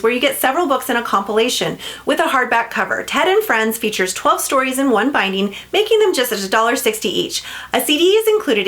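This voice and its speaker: High-pitched, animated woman pitching products. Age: adult, parent of a school-age child. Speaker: children's book seller and reading coach. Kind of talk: upbeat scripted promotional narration.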